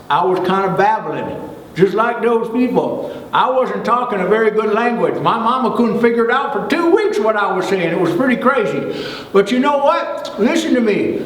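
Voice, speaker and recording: Man doing an echoey, high-pitched folksy country boy. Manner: folksy, rambling, enthusiastic. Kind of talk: children's Bible storytime. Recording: echoey hall, distant microphone.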